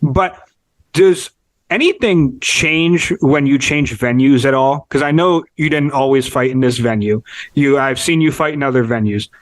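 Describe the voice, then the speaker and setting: A man's voice: medium pitch, unhurried, dry recording. A man hosting a podcast, asking long, curious, rambling questions in a casual interview. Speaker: podcast host.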